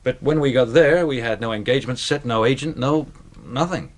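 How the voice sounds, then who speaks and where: A humble American stage magician, played by a man in a radio play. He speaks in a medium-pitched voice, politely and a little hesitantly, deferring to his betters with plain words. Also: fairly quick, lightly acted. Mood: sheepish, frank. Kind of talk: radio drama acting.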